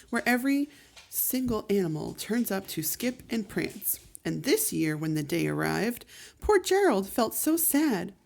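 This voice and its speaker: A woman hosting a children's read-aloud channel, reading a rhyming picture book in an expressive, measured way. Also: medium pitch, vocal fry. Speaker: storyteller host.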